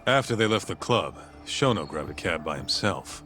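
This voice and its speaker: Gruff male private eye, low-pitched, blunt and slangy, game drama over prominent music. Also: expressive, moderate pace, bright, forceful tone. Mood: matter-of-fact. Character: gruff, street-tough private investigator, wry sidekick. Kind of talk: video game cutscene acting.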